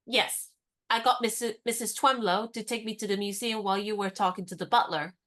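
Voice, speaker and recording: An actress playing a spirited, independent young woman in a radio play, speaking in a crisp, teasing and firmly insistent way. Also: medium pitch, steady tone, a little fast, a bit bright, natural voice. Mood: wry.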